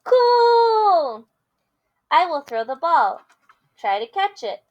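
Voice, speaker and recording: A woman voicing an excitable, playful young girl pig in a picture-book read-aloud. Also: extremely high pitch, slow pace, muffled recording, pitched above the performer's own voice, full character voice. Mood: excited, playful.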